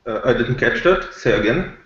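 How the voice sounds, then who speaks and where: Man, casual debate, halting argumentative delivery, medium pitch, echoey and muffled online call. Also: expressive. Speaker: podcast reviewer. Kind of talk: casual discussion.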